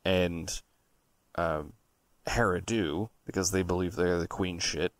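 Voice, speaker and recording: Low, gravelly man, blunt and profane. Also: dry recording. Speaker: podcast co-host. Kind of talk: casual banter and storytelling.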